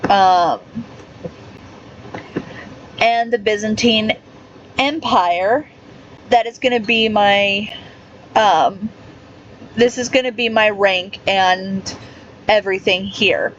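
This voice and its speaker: Slow-talking woman narrating gameplay. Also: mid-range voice, fairly even intonation, fairly bright tone, lo-fi sound, a little hiss or hum. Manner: reads game menus aloud, halting with fillers and restarts. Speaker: gaming YouTuber. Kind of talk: casual gameplay commentary.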